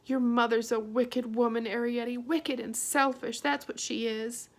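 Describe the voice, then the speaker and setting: A woman's voice: sits high, expressive, a little slow, voice pushed up. A woman voicing an anxious, fretful middle-aged mother who scolds dramatically and trembles. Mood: scolding. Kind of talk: audiobook character reading.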